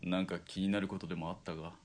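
A steady army spotter, voiced by a man in a radio drama, with a low voice. He gives crisp, clipped commands and talks to his partner in rough, joking speech. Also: quick pace, a little hiss or hum.

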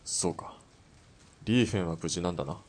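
An actor playing a calm killer in an audio drama, laconic and dry-witted. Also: male voice, low voice, brisk. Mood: serious, intent. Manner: laconic, dry, matter-of-fact threats.

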